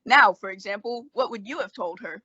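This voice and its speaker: A woman's voice: fairly high pitch, animated, a little fast, bright, forceful tone, husky, no room sound, muffled recording, heavily acted. A woman in an old-time western radio play, voicing a crusty, teasing, long-winded frontier doctor.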